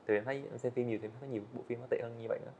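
A man's voice: medium pitch, fairly even intonation, rapid-fire, vocal fry, darkish tone, muffled recording, a little hiss or hum. A young man speaking as a podcast guest, explaining his plans at length in an earnest, casual way, seated in an indoor studio set. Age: young adult. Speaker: guest, student animator. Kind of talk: casual interview talk.

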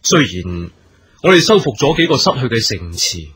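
Husky, grave army commander. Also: male voice, medium pitch, big pitch swings, a bit bright, exaggerated voice. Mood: grave, concerned. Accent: Hong Kong Cantonese. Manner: measured, formal, weighty. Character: grave, deliberate army commander in ancient China. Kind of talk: theatrical radio drama acting.

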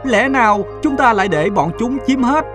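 A man voicing an earnest, emotional young son in an animated fairy tale. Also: extremely high pitch, moderate pace, over music. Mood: alarmed.

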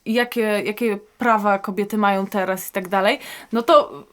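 A woman's voice: medium pitch, fairly even intonation, moderate pace. A woman chatting casually in a group discussion, hesitantly searching for her words.

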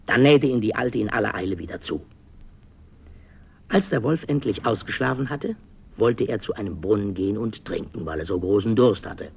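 Creaky, husky male storyteller. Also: lowish voice, moderate pace. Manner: expressive, theatrical. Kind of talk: dramatic scripted fairy-tale narration.

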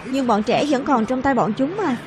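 A woman reading all the characters' dialogue in a dub of a children's animated fantasy. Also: high voice, moderate pace, background noise. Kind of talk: dubbed narration of a children's animated fantasy, voicing every character. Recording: music playing behind the voice. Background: music.

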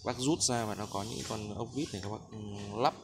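Male seller, Northern Vietnamese accent, lowish pitch, informal stop-start demo delivery, very noisy clattering recording. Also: gravelly. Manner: halting, repeats words while handling parts. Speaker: machine seller demonstrating grinder parts. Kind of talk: informal product demonstration, hands-on explanation. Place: hard concrete floor workspace. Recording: loud clanking metal noise, handheld phone. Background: metal parts clinking and clattering.

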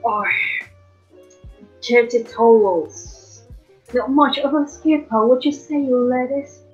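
A woman's voice: on the high side, slow, darkish tone. A young woman playing a probing, dismissive male county attorney in an amateur student drama, with hesitant delivery. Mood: condescending. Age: young adult. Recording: muffled band-limited recording, music behind.

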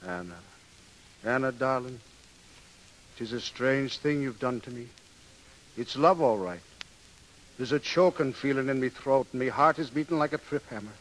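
An actor playing a brash, lovestruck young Irish sailor with an ardent lilt in a 1930s radio play. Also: male voice, medium pitch, moderate pace, long pauses, close to an everyday voice. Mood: lovestruck, pleading. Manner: ardent, lilting, boastful. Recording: hissy, noisy 1930s radio broadcast.

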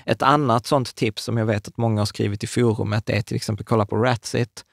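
Gravelly man explaining eagerly. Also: medium pitch, fairly even intonation, no room sound, studio quality. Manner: enthusiastic, restarts and fillers, gestures while talking. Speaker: podcast co-host. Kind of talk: casual explanatory chat. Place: small studio room with curtains and plants. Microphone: large studio mic on a table stand.

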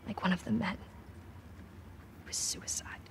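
An actress playing a gentle, worried teenage girl in a video game drama, speaking softly and haltingly. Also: mid-range voice, big pitch swings, gravelly, over music, exaggerated voice. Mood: sombre, regretful. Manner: hushed, halting, soft. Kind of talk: naturalistic video game cutscene acting.

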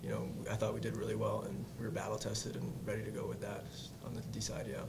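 A young man, a college lacrosse player answering postgame press questions at a table mic, plain and steady. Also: fairly low pitch, fast talker, creaky, heavy background noise. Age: young adult. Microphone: table mic on stand.

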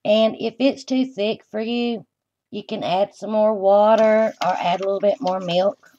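A woman's voice: medium pitch, steady tone, measured pace, dark tone. A woman giving plain, folksy step-by-step cooking instructions as she works at the stove in a home kitchen. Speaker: home cooking show host. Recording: dull lo-fi camera audio, kitchen noise.

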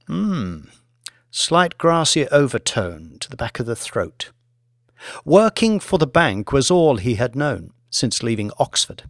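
A man reads dramatic audiobook narration. His mid-pitched voice moves expressively through deliberate phrases, with plenty of rise and fall. The sound is close and dry. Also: dry recording.

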